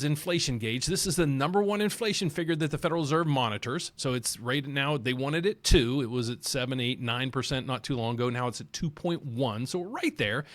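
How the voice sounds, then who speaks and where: A man with a Southern accent hosting a mortgage channel, talking casually through market charts and repeating himself as he goes. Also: a little high. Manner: rambling, repeats words, talks through charts on screen.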